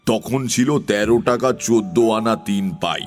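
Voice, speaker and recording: A man's voice: lowish voice, a little slow, natural voice. A man playing a fussy, argumentative, self-important crow in a children's audio story. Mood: haughty, argumentative. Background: music.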